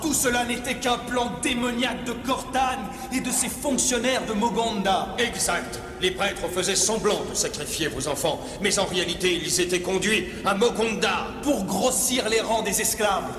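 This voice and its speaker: Guy doing a high declamatory cartoon hero type, bossy and dramatic, echoey with loud music. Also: moderate pace, natural voice. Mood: solemn, accusing. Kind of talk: dubbed cartoon acting.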